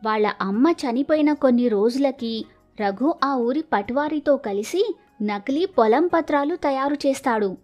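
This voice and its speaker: A woman narrates a moral folk tale from a script. Her voice is very high, and music plays softly behind her. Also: lively intonation, unhurried.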